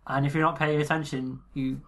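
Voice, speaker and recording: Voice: mid-range voice, level tone, a little fast, fairly bright tone. A podcast co-host in casual chat who swears freely, laughs mid-sentence and often trails off and restarts.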